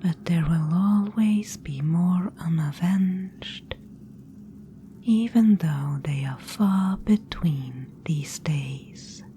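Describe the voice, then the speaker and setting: A woman voicing a sinister, patient spider-woman guide in a breathy, deliberate whisper for a fantasy ASMR roleplay. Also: lowish voice, a little hiss or hum. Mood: quietly gloating. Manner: slow, hushed, deliberate. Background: music.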